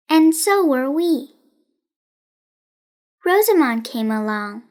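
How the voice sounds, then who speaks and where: A woman narrating a children's storybook in a deliberate, carefully enunciated way. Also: high voice. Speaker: children's audiobook reader.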